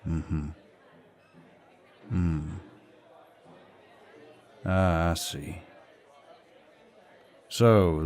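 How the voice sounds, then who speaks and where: A man playing a protective, dominant Southern gentleman in an audio roleplay, speaking politely but firmly with a Southern US drawl. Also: sits low. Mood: gentle, curious. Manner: polite, drawling, firm, old-fashioned courtesy. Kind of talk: roleplay audio acting, intimate and scripted.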